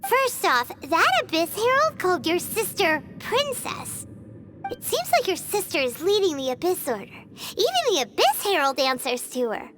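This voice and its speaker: A woman voicing a small, excitable, childlike fairy companion in a video game, squeaky and full of exclamations and questions. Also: normal speed, background noise. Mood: puzzled, explaining. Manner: exclamatory, questioning, refers to self in third person. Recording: game music behind the voice.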